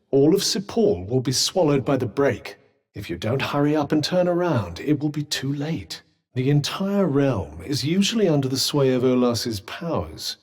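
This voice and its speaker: A man's voice: medium pitch, a little mellow, slightly rough. An actor voicing a sentient magical cuff in a video game, a dry, witty and protective male companion with a British accent and a formal, well-spoken, advising manner. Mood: calm, dry. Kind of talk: scripted game dialogue. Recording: long reverberant tail on voice.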